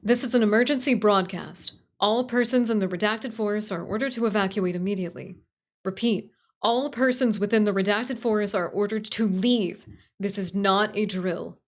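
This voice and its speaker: A woman playing an urgent, formal emergency broadcast announcer in a radio play, measured and emphatic. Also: mid-range voice, lightly acted. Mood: stern, urgent.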